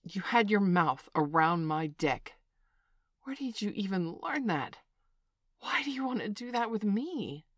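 A woman's voice: medium pitch, animated, a little slow, fairly bright tone, dry recording, clean studio sound. A woman acting a flustered parent in a scripted audio roleplay, who swings between scolding and soothing. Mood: stern. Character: flustered protective parent, middle-aged.

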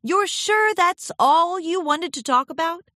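A woman's voice: very high voice, expressive, a little slow, pushed and bright, no echo, studio quality, pitched above the performer's own voice, heavily acted. A woman voicing a friendly, composed young pony who sounds politely concerned and encouraging, in a children's audiobook.

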